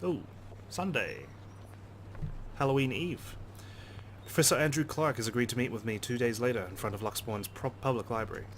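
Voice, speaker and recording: A man reading visual novel narration aloud as a let's player, in an expressive storytelling style. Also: mid-range voice, moderate pace, a little hiss or hum.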